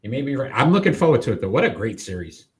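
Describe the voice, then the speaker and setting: A man's voice: mid-range voice, big pitch swings, rapid-fire. A man with a Rhode Island accent hosting a live sports talk show, chatting casually and often restarting his sentences.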